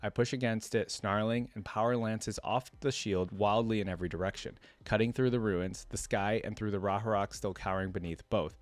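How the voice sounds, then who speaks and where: Male reaction host, lowish voice, informal and colloquial delivery between storytelling and off-the-cuff commentary, very little room sound. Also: steady tone, brisk, hardly any pauses, no echo. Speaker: reaction video host reading a story aloud. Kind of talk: reading a fantasy story aloud with casual off-the-cuff commentary.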